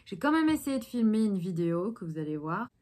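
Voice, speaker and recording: A woman's voice: medium pitch, sing-song, brisk, darkish tone. A woman, chatting casually about her day in a rambling vlog with many fillers. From Belgium. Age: 30s. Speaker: actress vlogger.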